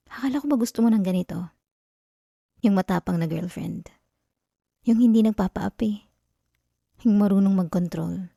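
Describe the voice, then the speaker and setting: Woman acting a mellow possessive Filipino yandere girlfriend. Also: medium pitch, dry recording, studio quality. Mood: menacing, taunting. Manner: intimate, teasing, code-switching into English words. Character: possessive, teasing yandere girlfriend, obsessive and controlling. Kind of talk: ASMR roleplay, spoken to the listener.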